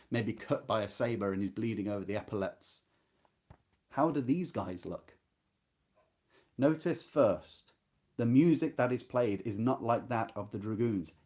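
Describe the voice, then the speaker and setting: Expressive man leading a book discussion. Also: fairly low pitch. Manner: engaged, rhetorical questioning, emphatic repetition. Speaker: host of a literary readalong. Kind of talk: book discussion with expressive read-aloud passages.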